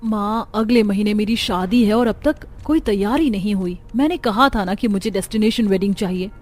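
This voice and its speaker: A woman's voice: mid-range voice, moderate pace, darkish tone, natural voice. A woman voicing a spoiled, bossy rich daughter in a Hindi moral-story cartoon. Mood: demanding. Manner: bossy, insistent. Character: spoiled, demanding rich young bride-to-be daughter.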